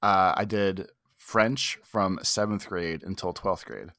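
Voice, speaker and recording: A man's voice: sits low, takes it slowly, sharp tone, no room sound, clean studio sound. A man co-hosting a casual show review, wry and self-deprecating, sometimes swearing. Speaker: podcast co-host. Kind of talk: casual chat.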